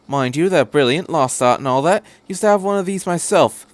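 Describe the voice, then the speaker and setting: A man's voice: a little high, animated, brisk, no room sound, pitched above the performer's own voice, lightly acted. A man voicing a cheerful, chatty, eccentric male time traveller who rambles breezily in a fan fiction reading. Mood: apologetic, breezy.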